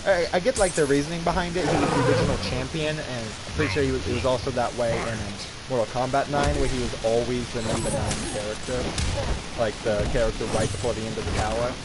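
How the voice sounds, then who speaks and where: Man, game streamer, mid-pitched voice, rambling casual commentary, opinionated, clearly noisy background. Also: steady tone, fast. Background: video game ambience.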